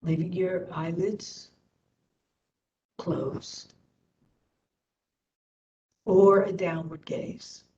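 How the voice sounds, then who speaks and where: A woman instructor leading a guided meditation in short phrases with long pauses. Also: fairly even intonation, warm tone. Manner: slow, pausing between short phrases. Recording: distant mic, dull, faint rumbling noise.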